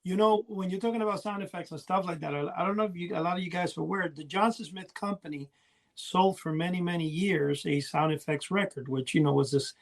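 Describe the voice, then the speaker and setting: A man's voice: high-pitched, a little fast, vocal fry. A middle-aged man co-hosting a podcast, telling rambling, nostalgic stories in casual chat. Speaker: podcast co-host.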